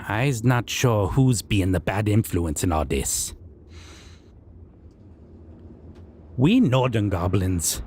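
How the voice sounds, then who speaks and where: A man performs as a civilized goblin king in a fantasy audio drama, stern and menacing. His lowish voice swings expressively through strange broken grammar, taking its time over every question. Faint noise sits behind him. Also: a little mellow. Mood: musing, contemptuous. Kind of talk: scripted roleplay audio drama monologue.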